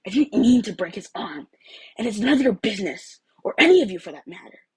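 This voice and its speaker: Woman doing grumpy stubborn teen guy, harsh n husky, story reading. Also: medium pitch, sing-song, fairly quick, dry recording, pitched above the performer's own voice. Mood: defensive. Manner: harsh, defiant. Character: surly, stubborn, hot-tempered teenage boy.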